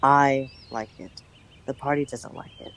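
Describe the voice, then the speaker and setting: A woman's voice: very deep voice, steady tone, a little slow, a bit bright. A woman playing a bold, pragmatic young woman and secretive lover in a radio drama, speaking in endearments. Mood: frank, conspiratorial. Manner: endearing, frequently says darling.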